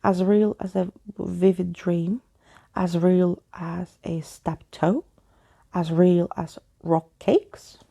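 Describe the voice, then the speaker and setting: A woman's voice: lowish voice, little pitch movement, slow pace, vocal fry, mellow, husky, full character voice. A woman voicing a timid, bewildered young boy in a storybook, speaking hesitantly. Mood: earnest. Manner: hesitant, stammering.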